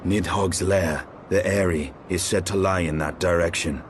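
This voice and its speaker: A proud dragon-slayer, acted by a man speaks in stern, measured, archaic phrases for a fantasy game. His voice sits deep, with faint noise behind it. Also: steady tone, lightly acted. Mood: resolute. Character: grim proud dragon-slaying knight, resolute and blunt.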